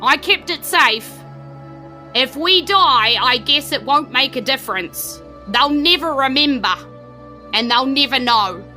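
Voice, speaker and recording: A woman voicing a tired, grieving man who keeps a workshop, speaking slowly and heavily. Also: high-pitched, animated, bright, forceful tone, faint music behind, full character voice. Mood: weary, sorrowful. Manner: slow, heavy, sorrowful.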